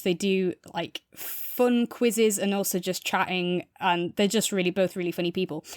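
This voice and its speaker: A woman's voice: medium pitch, no echo. Woman chatting, dry close sound. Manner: enthusiastic, discursive. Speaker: podcast co-host. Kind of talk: casual review chat.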